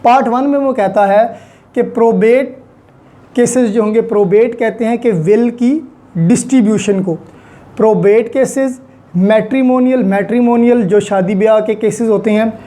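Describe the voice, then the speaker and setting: A law lecturer with a Pakistani accent and a mellow, relaxed tone at a middling pitch. The delivery is deliberate and explanatory, with key terms repeated and unpacked. English legal terms are mixed into the speech.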